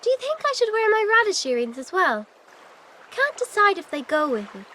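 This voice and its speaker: A woman's voice: very high voice, sing-song, normal speed, pushed and bright, a little hiss or hum, heavily acted. An actress playing a dreamy, candid, eccentric teenage girl in a video game, airy and guileless.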